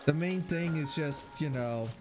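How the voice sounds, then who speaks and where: A young man giving casual livestream game commentary in a halting, rambling way. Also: fairly high pitch. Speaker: game streamer. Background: game music.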